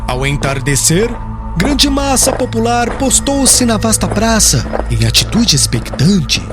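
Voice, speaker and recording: A Brazilian man reading an audiobook as dramatic scripted narration, in a measured, formal and theatrical storytelling manner. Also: high-pitched, very animated, music in the background.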